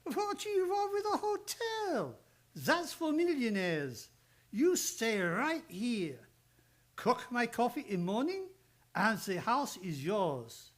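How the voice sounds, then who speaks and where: A man's voice: very high-pitched, sing-song, takes it slowly, a bit bright, exaggerated voice. A man playing a kindly, grumbling old psychoanalyst who speaks broken English in a live old-time radio play. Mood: kindly, pleased. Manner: broken English with dropped articles.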